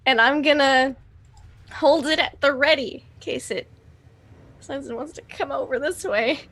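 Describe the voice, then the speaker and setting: A woman's voice: sits high, a little slow, a bit bright. A woman playing a tabletop roleplaying game, chatting casually in a playful way.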